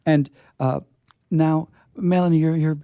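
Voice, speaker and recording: A man hosting a casual live radio chat, animated and playfully contrary, who often stammers and repeats words and phrases. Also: on the high side, measured pace, smooth, no room sound, muffled recording. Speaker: radio show host.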